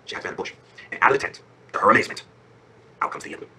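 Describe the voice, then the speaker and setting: A man playing an affectionate father who improvises a bedtime fairy tale in an informal, conversational way, checking in with his listener, his voice husky. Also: racing, sharp tone, a little hiss or hum. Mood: suspenseful. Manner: conversational, peppered with check-in questions. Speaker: storyteller. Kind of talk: animated, informal bedtime storytelling.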